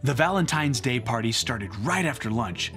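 A man in his thirties reads a picture book aloud as a storytime host, with expressive, playful comic delivery. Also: mid-range voice, moderate pace, faint music behind. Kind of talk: animated children's picture-book narration.

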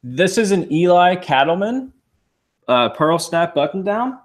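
A man's voice: high-pitched, sing-song, unhurried. A man in his thirties co-hosting a casual livestream from a living room couch, talking upbeat while showing items to the camera. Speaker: reseller livestream co-host. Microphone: fixed camera across the room.

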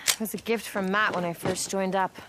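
An actress playing a brisk, guarded, determined camp scientist in a video game, earnest in manner. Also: female voice, mid-range voice, steady tone, very noisy, close to an everyday voice.

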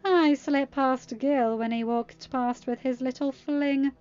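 A woman voicing a smug, taunting young male villain in an audiobook-style story, with a sneering, deliberate manner. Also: a little high, darkish tone, highs cut off, lightly acted.

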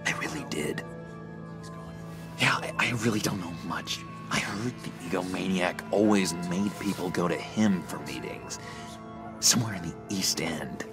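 An actor playing an eager, unhinged young schemer in a video game, halting and wheedling with sinister warmth. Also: male voice, low-pitched, over music. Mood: coaxing, fond. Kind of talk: video game character acting.

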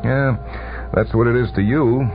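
A man voicing a cool, sour male mercenary gambler with a flat, sardonic, halting delivery in a radio story. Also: mid-range voice, voice pushed up, lightly acted. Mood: sardonic, probing. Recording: tinny vintage radio broadcast, music behind.

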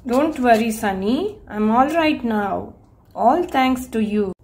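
A woman's voice: on the high side, animated, slow, darkish tone. A woman voicing a cute young girl crane in a children's story, playful and sweet. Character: cute yellow girl crane, loyal friend.

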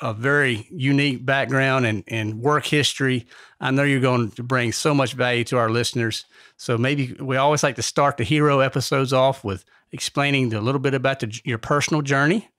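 Man, podcast host, mid-pitched voice, casual delivery, very dry recording. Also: fairly quick, fairly bright tone, no room sound. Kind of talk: podcast hosting, introduction and casual chat.

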